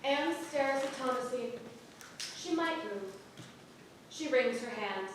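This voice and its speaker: High-pitched woman narrator, very echoey hall. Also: expressive, slow pace. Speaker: narrator reading stage directions. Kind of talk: scripted stage narration. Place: theatre stage, recorded from the audience. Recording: echoey hall, distant mic, faint noise.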